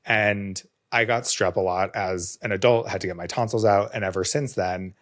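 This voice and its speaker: A man hosting a podcast in casual conversation, his voice slightly hoarse with a touch of vocal fry, earnest and reflective. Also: fairly low pitch, fairly even intonation, moderate pace, fairly bright tone. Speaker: podcast host.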